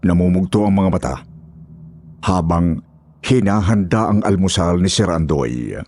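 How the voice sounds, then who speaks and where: A man's voice: deep voice, measured pace, darkish tone. A man reading a scripted horror story as its narrator. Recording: music behind the voice.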